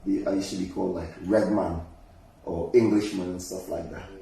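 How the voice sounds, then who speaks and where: A man's voice: sits low, steady tone, normal speed, vocal fry, dark tone. A man from Trinidad and Tobago chatting casually and jokily on a live talk show, rambling as he tells stories. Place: community lounge at a railway station. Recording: very echoey room, distant mic, faint noise.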